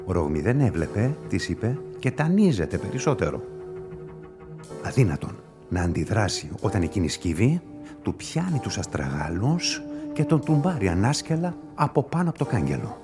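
An actor in a radio play playing a patient, methodical police investigator who questions and explains in a measured way. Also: male voice, lowish voice, big pitch swings, over music. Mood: pondering. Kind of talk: radio drama acting.

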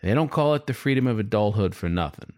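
Young male fox narrator, played by a man: lowish, dry close recording, cheeky, randy, wry, confiding audiobook delivery. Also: expressive, fast, a little mellow, no room sound, natural voice. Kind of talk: first-person audiobook storytelling.

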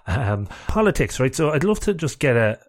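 A man's voice: mid-range voice, sing-song, normal speed. A man hosting a podcast interview, asking earnest, searching questions. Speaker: podcast host.

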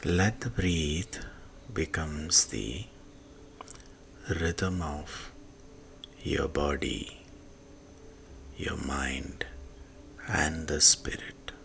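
A man guiding a sleep meditation in a hushed manner, leaving long pauses and letting phrase endings fade into breath. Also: very deep voice, fairly even intonation, gravelly, a little hiss or hum. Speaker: meditation guide. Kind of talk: slow guided sleep meditation. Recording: soft music behind the voice. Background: soft music.

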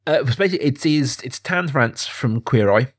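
A man's voice: mid-range voice, expressive, a little fast. A man co-hosting a casual film podcast, talking in a conversational, self-deprecating way. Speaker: podcast co-host.

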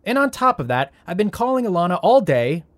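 A man playing a frazzled, sarcastic young heir in an audio drama, with a wry, flustered, halting delivery. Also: sits high, big pitch swings. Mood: self-pitying, exasperated. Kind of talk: audio drama acting, naturalistic.

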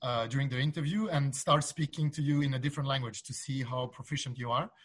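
A man from Belgium speaking as an interview guest, explaining in a casual, halting way. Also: mid-range voice, fairly even intonation, slightly rough. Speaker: interview guest, startup founder.

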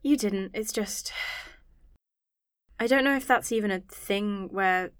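A woman's voice: medium pitch, slow, dry recording, studio quality, lightly acted. A woman acting in an audio drama as an earnest, anxious scientist who rambles self-consciously. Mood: confiding.

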